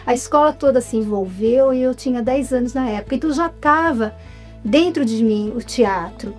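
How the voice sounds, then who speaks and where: Mellow-voiced Brazilian actress telling her story. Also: a little high, normal speed, soft background music. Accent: Brazilian Portuguese, São Paulo state. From Jundiaí, Brazil. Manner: chatty, storytelling. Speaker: guest, writer and actress. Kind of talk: casual interview.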